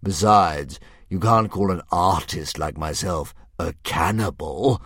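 A man voicing a huge, vain male dragon who talks like a cheerfully menacing philosopher, slow and condescending. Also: low voice, a bit bright, breathy edge, dry recording, studio quality, heavily acted. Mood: exasperated. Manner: slow, drawn-out, grandly condescending. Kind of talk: audiobook character voice.

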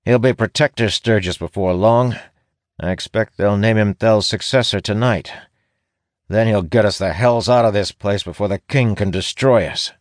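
A man voicing a blustering, suspicious guard in a fantasy audiobook, with a gruff, challenging manner. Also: on the low side, normal speed, no echo, studio quality, voice pushed up, close to an everyday voice. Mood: suspicious, insistent. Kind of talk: audiobook fantasy character acting.

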